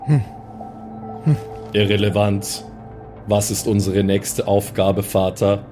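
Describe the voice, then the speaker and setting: A man's voice: lowish voice, little pitch movement, slow pace, warm tone, faint music behind. A man voicing a cold, literal-minded killer robot in an improvised audio drama, with clipped, formal, emotionless phrasing. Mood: flat, analytical.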